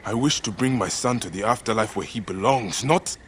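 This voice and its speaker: A man's voice: lowish voice, a little fast, husky. An actor playing a blunt, intense Egyptian warrior and grieving father in a cinematic game. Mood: resolute, frustrated.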